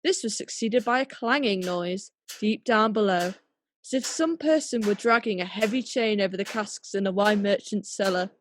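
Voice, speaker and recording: A woman narrating a radio play as a storyteller, measured and dramatic. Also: medium pitch, slight background noise.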